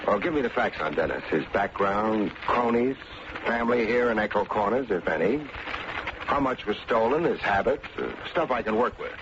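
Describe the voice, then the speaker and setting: A man's voice: lowish voice, expressive, normal speed, heavy background noise, close to an everyday voice. An American man playing a wry, slangy, hard-boiled private investigator who narrates his case in an old radio detective drama. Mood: probing, cool. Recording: muffled old radio recording, loud music.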